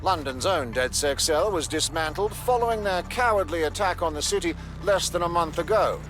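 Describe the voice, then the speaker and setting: An actor playing an earnest, alarmist TV news reporter in a video game, delivering a formal broadcast report. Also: male voice, a little high, expressive, moderate pace, close to an everyday voice. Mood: urgent, grave. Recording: music behind the news voice.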